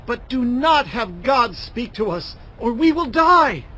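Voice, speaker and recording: A man's voice: very high voice, very animated, slow pace, pushed and bright, full character voice, poor audio. A man playing a frightened, pleading member of an ancient crowd in a dramatized scripture production. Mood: fearful pleading. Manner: fearful, urgent pleading.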